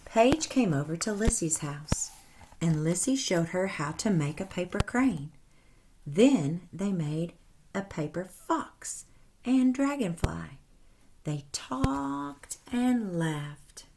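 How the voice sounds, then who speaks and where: A woman teacher reading a storybook aloud to young children in a deliberate, instructive way with animated emphasis. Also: on the low side, slight background noise. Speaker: first grade teacher.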